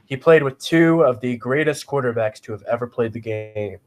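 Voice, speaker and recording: A mid-pitched man with a head cold sniffles through a casual football podcast. He reads off game stats with blunt, profane comments. His voice is neither high nor low. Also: normal speed. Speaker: podcast co-host. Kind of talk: casual sports chat.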